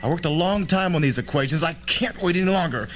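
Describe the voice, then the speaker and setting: A man's voice: a little high, quick pace, close to an everyday voice. A man playing a defiant, fatalistic, obsessed scientist in an old-fashioned radio drama, intense and theatrical. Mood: insistent. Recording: old amateur tape, faint hum.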